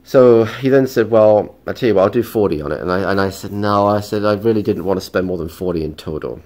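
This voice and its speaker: A man hosting a casual record haul vlog, talking in a chatty, rambling way with lots of ums, his voice croaky and stuffy from a cold. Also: fairly low pitch, fast talker, a little mellow. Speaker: vinyl collecting vlogger.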